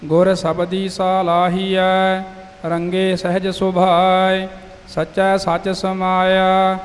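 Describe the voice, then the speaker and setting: A man reciting scripture in a slow, measured, sing-song chant. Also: high voice, completely flat delivery, long reverb tail.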